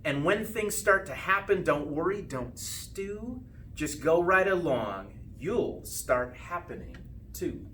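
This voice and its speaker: Man, fairly high voice, storybook read-aloud, expressive sing-song delivery, stretched line endings, distant recording, faint noise. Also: slow pace. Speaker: school principal reading a storybook.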